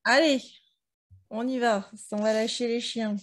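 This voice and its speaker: Female podcast co-host, fairly high voice, informal and conversational book talk. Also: fairly even intonation, measured pace. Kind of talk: casual book discussion chat.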